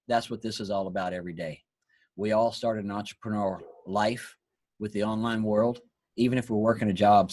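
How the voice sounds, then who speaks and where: Hoarse, husky male host; earnest motivational speaker, low voice, dry close sound. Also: darkish tone, no room sound. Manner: earnest, preachy, repeats phrases. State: hoarse, losing his voice. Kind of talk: motivational talk on a live online call.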